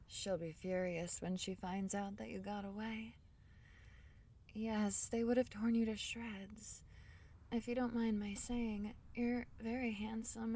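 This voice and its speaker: A woman playing a small, shy, kind forest fairy in an intimate ASMR fantasy roleplay, speaking softly and hesitantly. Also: fairly high pitch, recorded from a distance. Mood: grave, then shy, admiring. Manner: soft-spoken, gentle, hesitant, breaking into whispers.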